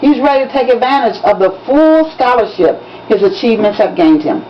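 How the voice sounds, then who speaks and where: A woman's voice: a little high, animated, fairly quick, close to an everyday voice. A woman voicing a proud male school principal making formal, declamatory announcements for an audiobook-style reading. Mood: proud, announcing. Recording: dull lo-fi recording with background noise.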